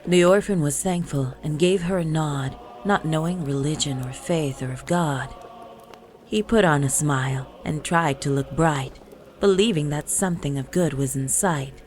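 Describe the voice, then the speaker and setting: Low-voiced woman reciting rhymed storybook verse. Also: moderate pace, a little mellow, a little hiss or hum. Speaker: narrator of a Christmas poem. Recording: music bed under the voice. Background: music.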